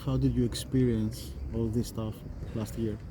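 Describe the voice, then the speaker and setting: A man's voice: medium pitch, flat delivery, normal speed. A man interviewing a footballer, asking short, easygoing questions. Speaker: sports interviewer. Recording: noisy background.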